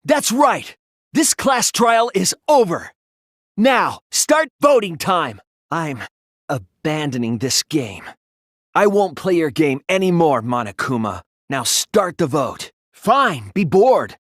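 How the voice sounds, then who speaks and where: Casting: earnest, polite teen boy detective for an anime game, timid yet resolute, very animated intonation, dry studio sound. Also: fairly high pitch, takes it slowly, no echo, exaggerated voice. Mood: impassioned, determined, then firm, defiant. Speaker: video game voice actor. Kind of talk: English anime game dub acting.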